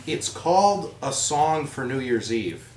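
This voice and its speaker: A man's voice: on the high side, expressive, a little slow, darkish tone, far from the mic. A man at a live reading, speaking theatrically with phrases that rise and fall. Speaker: reader at a readers theater event. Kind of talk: expressive live poetry recitation and introduction. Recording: echoey room, off-mic, faint noise.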